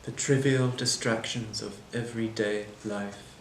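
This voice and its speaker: A bitter, disillusioned manager, acted by a man delivers a solemn dramatic monologue. He speaks very slowly and deliberately, breaking thoughts into short phrases. The room echoes around him, with noticeable background noise. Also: lowish voice, steady tone. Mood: grave conviction. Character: bitter, disillusioned airport manager defending replacing people with machines. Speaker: actor performing a monologue. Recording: roomy echo, clear background noise.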